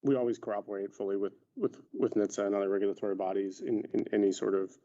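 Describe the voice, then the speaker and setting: A man's voice: fairly low pitch, steady tone, fast, warm tone. A man answering as a company executive on an earnings call. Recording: dull lo-fi conference call audio.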